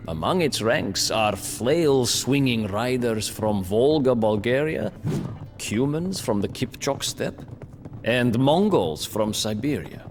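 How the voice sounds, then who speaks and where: A grave, wry storyteller of medieval wars for a video game. He speaks slowly and deliberately, with pitch that rises and falls dramatically. The voice rings with long reverb over music. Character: captive male storyteller in chains, grave and wry medieval chronicler. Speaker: narrator. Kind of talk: dramatic scripted historical narration for a game cutscene.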